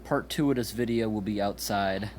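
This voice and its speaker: A man's voice: fairly low pitch, normal speed, darkish tone. A man casually demonstrating a product in a plain, informal, explaining way. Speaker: gadget reviewer. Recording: noisy handheld amateur recording.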